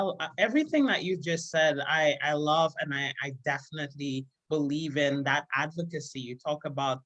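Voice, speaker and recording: A woman's voice: deep voice, low-quality recording. A woman from Trinidad and Tobago hosting a podcast interview, affirming her guest and repeating words as she starts sentences. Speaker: podcast host.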